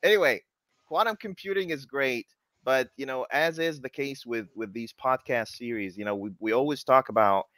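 A man's voice: mid-range voice, moderate pace, a bit bright, no room sound. A man hosting a podcast in casual interview chat, rambling and jocular, often repeating himself, speaking on a video call. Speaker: podcast host. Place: home office, video call. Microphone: headset on a video call.

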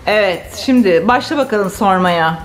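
A woman's voice: medium pitch, animated, moderate pace. A woman hosting a family vlog, chatting casually with children as they play a game at home. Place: living room at home.

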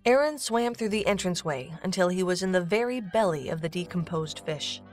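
A woman's voice: lowish voice, animated, fairly quick. A woman narrator reading a scripted story for an audio drama. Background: music.

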